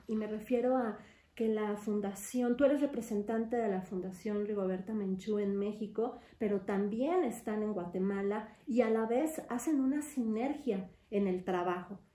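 A woman's voice: on the high side, dark tone. A young woman hosting an interview, asking long, thoughtful questions at a desk mic. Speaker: interview host. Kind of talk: conversational interview. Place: studio room with wood panels and glass. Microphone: desk mic on stand. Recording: echoey room, mic at a distance.

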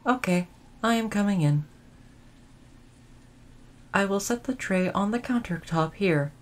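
A woman playing a gentle, dutiful female android servant in an audio roleplay, speaking in formal, polite, measured phrases. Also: medium pitch, lively intonation, close to an everyday voice. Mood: polite, attentive. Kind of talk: audio roleplay addressed to the listener.

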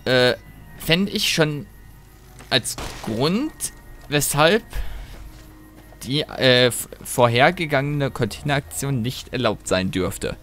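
A man giving casual let's play commentary, thinking aloud in a rambling, halting way and breaking off mid-thought. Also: medium pitch, lively intonation, fairly bright tone, soft background music.

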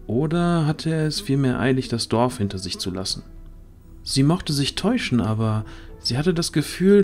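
A man's voice: medium pitch, a little mellow. A man reading dramatic scripted narration for a fantasy audio drama.

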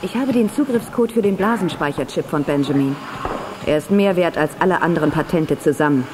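A woman's voice: sits low, animated, brisk, music in the background. An actress playing a scheming, commanding female villain in a radio play, imperious and intense. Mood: grave, calculating. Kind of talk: German radio play acting. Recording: noisy cassette radio play.

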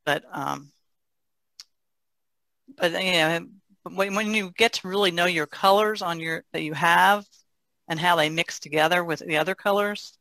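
A woman's voice: lowish voice, a little slow, long pauses, sharp tone, no room sound. An older woman, a painter, casually explaining her craft in conversation. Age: older, about 60s. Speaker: painter, podcast guest.

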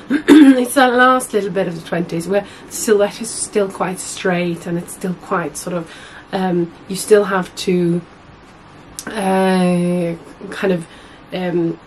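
A young woman with a husky voice, hoarse from a cold, chatting to camera as a sewing vlogger in a small room at home, rambling and correcting herself often. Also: medium pitch, a little hiss or hum, poor audio. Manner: chatty, rambling, many fillers and self-corrections. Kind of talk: casual chat to camera.

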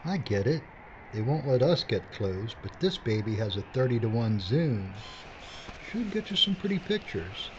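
A man's voice: medium pitch, very animated, unhurried. A man acting an inquisitive, dry-witted cameraman in an audio drama. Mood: matter-of-fact. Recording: muffled recording with clear noise.

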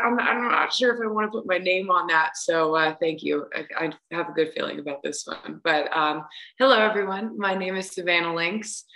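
A woman's voice: lowish voice, bright, forceful tone, rough recording. A young adult woman, a singer guest, chatting hesitantly with many restarts over a video call. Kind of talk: casual interview chat. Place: large room at home on video call.

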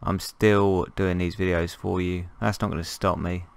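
A man with a croaky voice from a cold, chatting casually and jokingly as he plays and narrates a survival game. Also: low voice, flat delivery, moderate pace. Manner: rambling, jokey, thinking aloud. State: hoarse from a cold. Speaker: gameplay commentator. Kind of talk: casual gameplay commentary.

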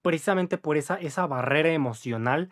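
A man's voice: on the high side, fairly quick. A young man in his twenties with a Mexican accent, an English teacher giving a casual video lesson to camera, chatty and upbeat, often checking in with the viewer by tagging his sentences with little questions. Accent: Mexican Spanish. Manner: chatty, upbeat, frequent "¿va?" and "¿vale?" tag questions. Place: indoor room with a patterned wall.

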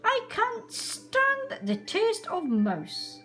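A stubborn, drowsy male dog from a storybook, put on by a woman. He barks his refusals in a voice that leaps in pitch, with music behind. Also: extremely slow, heavily acted. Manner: barking, flatly refusing.

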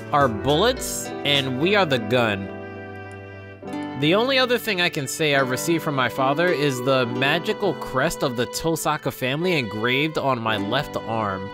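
A young adult man reading visual novel text aloud as a gaming host, stumbling now and then and adding casual reactions. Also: mid-range voice. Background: game music.

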